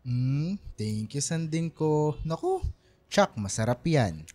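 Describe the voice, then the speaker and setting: A man's voice: on the high side, very animated, takes it slowly, mellow, no room sound. A man playing a cheerful, doting working-class father in a radio drama, affectionate, joking and a little wistful.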